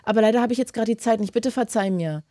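Woman radio host, quick chatty delivery, dry close studio sound. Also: on the high side, no room sound. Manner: chatty, warm-hearted advice, fast talking. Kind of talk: casual radio phone-in chat.

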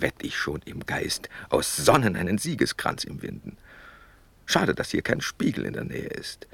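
A witty, loyal young count at a Prussian court in a classical radio drama. His voice is deep and creaky, with wry, declamatory verse that rises and falls widely. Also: no echo, heavily acted.

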